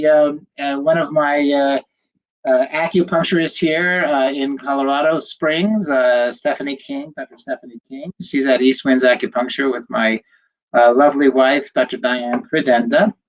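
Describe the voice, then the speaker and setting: A man's voice: a little high, low-quality recording. An older man, a doctor, slightly nasal from a cold, giving a chatty livestream lecture, rambling with fillers and greeting viewers by name.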